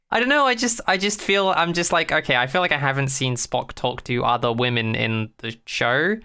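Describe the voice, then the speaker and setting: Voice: mid-range voice, normal speed, bright, forceful tone, smooth. A wry, opinionated podcast co-host chatting casually in a teasing, informal way. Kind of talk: casual chat.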